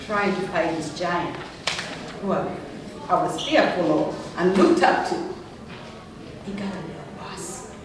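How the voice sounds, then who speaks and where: A young woman from Uganda, telling her personal story as a speaker with earnest, emphatic delivery. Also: medium pitch. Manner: earnest, emphatic, rising passionately on key words. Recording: echoey hall from far off.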